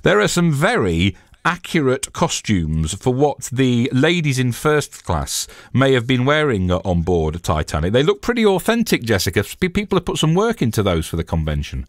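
A man's voice: medium pitch, very animated, moderate pace, no echo, studio quality. A man presenting a local radio interview, chatty and full of fillers and restarts. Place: radio studio. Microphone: studio microphone.

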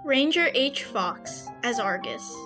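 Young adult female co-host, slightly high voice, playful mock drama, close dry sound with soft music underneath. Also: lively intonation, takes it slowly. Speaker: podcast co-creator and host. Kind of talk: casual banter and thank-you message.

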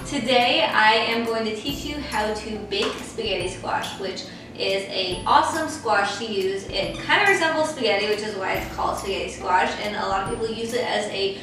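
A woman's voice: medium pitch, normal speed, background noise. A young woman hosting a casual cooking tutorial in her home kitchen, upbeat and chatty, with lots of "like". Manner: chatty and upbeat, says "like" often. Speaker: cooking channel host. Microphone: far camera mic. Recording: echoey kitchen, mic at a distance, music underneath.

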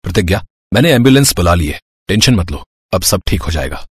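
A man's voice: low voice, expressive, brisk, slightly rough, no room sound, studio quality, voice pushed down, heavily acted. A man voicing a helpful young police inspector in a cartoon story, earnest and reassuring.

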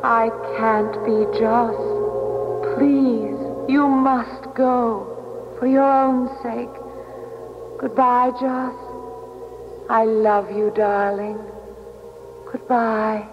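An actress playing the gentle, sorrowful ghost of a dead wife, tender and pleading, in 1970s radio drama style. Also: female voice, fairly high pitch, flat delivery, extremely slow, pauses often. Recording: dull 1970s radio recording under loud music.

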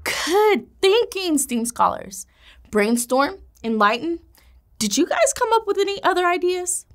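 A woman in her thirties, a teacher presenting a kids' educational video with an animated, encouraging manner. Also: extremely high pitch, a little slow. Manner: animated, encouraging, gestures while speaking. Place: indoor classroom studio set.

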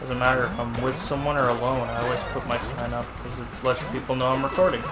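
Brief: young male co-host, naturally loud, playful gaming chat over game music. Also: medium pitch, fast talker, echoey room. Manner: loud, excitable, teasing. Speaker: co-host of a gaming let's play. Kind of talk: casual chat while playing.

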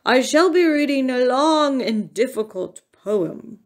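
A woman voicing a gruff, stern, pompous male bear who speaks formally in a children's storybook. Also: high voice, lively intonation, slow. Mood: scolding. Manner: pompous, declamatory, formal wording. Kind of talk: children's storybook character reading.

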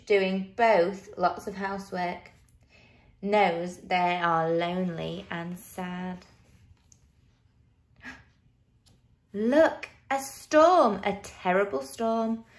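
A woman reading a picture book to young children in an expressive, dramatic way. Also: mid-range voice.

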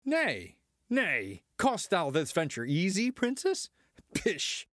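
An actor playing a cocky, boastful starship pilot, swaggering through archaic verse in an audio drama. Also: male voice, sits high, wildly swooping pitch, takes it slowly, fairly bright tone, dry recording, studio quality, full character voice.

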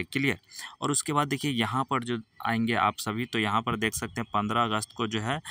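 A man's voice: fairly low pitch, pushed and bright, no room sound. A man hosting an education channel, walking students through an official notice and filling his speech with "theek hai.". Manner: repeats "ठीक है" and "जो है" as fillers, patient instructive tone. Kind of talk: screen-recorded explainer for students.

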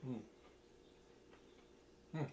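A middle-aged man giving casual tasting commentary as a cooking video host, speaking in a halting, thoughtful way between bites. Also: warm tone. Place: home kitchen, seated at a table.

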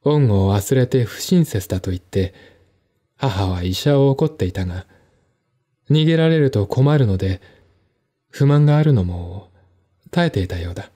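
A man playing a composed, articulate man in a one-man audio drama, explaining things in a measured, reflective way. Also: mid-range voice, expressive, mellow, natural voice. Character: composed, articulate man recounting his stepmother's death.

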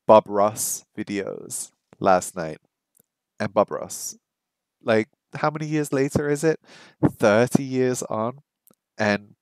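A middle-aged man live-streaming from a small room at home on a headset mic, chatting casually and repeating practice phrases slowly. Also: on the low side, no room sound. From English first language, lives in Hong Kong. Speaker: streamer learning Japanese.

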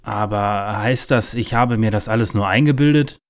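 A man's voice: on the low side, normal speed, close to an everyday voice. A man playing a paranoid, overwrought conspiracy believer in a parody audio drama. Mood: deflated.

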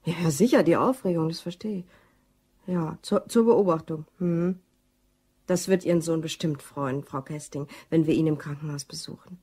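Husky, cool scheming woman. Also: low-pitched, moderate pace, warm tone. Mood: polite, businesslike. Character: cool, scheming female office worker and partner in crime. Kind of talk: German crime radio drama acting.

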